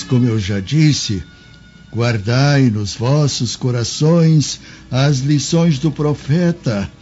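Slow, mellow, kindly old preacher. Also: male voice, mid-range voice. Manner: solemn, gentle, reverent. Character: kindly, humble old man, devout village preacher. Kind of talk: radio drama acting. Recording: dull lo-fi radio drama, music behind.

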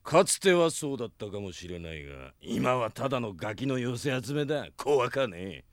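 A man playing a swaggering, scheming bandit chief in a Japanese radio drama, with a rough way of talking. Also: mid-range voice, big pitch swings, normal speed, no echo, clean studio sound. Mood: gloating, scheming.

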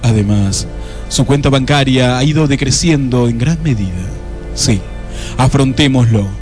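A man's voice: medium pitch, normal speed, a little mellow, lightly acted. Casting: male actor as wry Argentine narrator, mid-range voice, mock-solemn, scripted comic radio theatre over light music. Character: wry, dramatic male narrator of a detective spoof.

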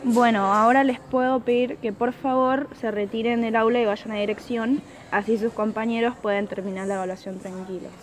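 A woman with an Argentine accent playing a stern, ill-humoured high school teacher in an amateur radio play, addressing the class formally. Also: steady tone, normal speed, hardly any pauses, natural voice. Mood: stern, resigned. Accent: Argentine Spanish. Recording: noisy amateur recording.

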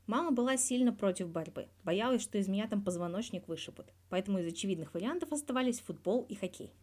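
A woman's voice: mid-range voice, expressive, fast talker, a little mellow, natural voice. A woman voicing a guarded male hockey star in his thirties, talking candidly and wryly in a scripted interview from a fan fiction audio reading. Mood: reflective. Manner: candid, wry, colloquial with swearing.

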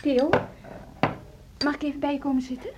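An actress playing a hesitant, earnest woman music teacher in a naturalistic radio drama, speaking haltingly. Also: sits high, flat delivery, background noise, lightly acted. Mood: earnest, subdued.

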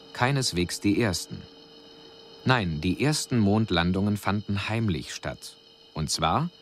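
Actor as very deep-voiced alien envoy, analytical. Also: male voice, slight background noise, close to an everyday voice. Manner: measured, analytical, formal. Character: male alien envoy soberly reporting on a doomed planet. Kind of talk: scripted radio drama report.

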